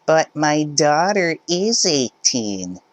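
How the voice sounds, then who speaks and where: A man voicing a shrill, demanding entitled middle-aged mother who argues with a store cashier. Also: big pitch swings, slow, dry recording. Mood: indignant. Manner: indignant, demanding, shouting.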